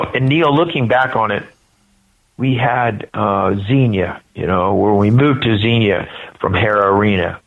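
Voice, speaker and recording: Older American male, event spokesperson in interview, creaky delivery, earnest and hesitant, narrow telephone sound. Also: lowish voice. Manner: halting, earnest. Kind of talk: live phone interview on a radio show. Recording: tinny narrow phone line.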